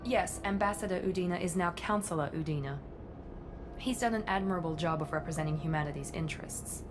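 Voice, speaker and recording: Low-voiced, coolly ruthless female operative played by an actress. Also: fairly quick, music in the background, close to an everyday voice. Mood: businesslike. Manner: clipped, haughty, businesslike. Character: cool, self-assured, ruthless female covert operative. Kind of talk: video game cutscene acting.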